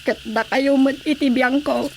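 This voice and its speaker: A woman's voice: high voice, fairly even intonation, a little slow. A woman playing a distraught, plaintive wife struggling to forgive, in an Ilocano radio drama. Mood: troubled pleading. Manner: plaintive, pleading. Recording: faint background noise.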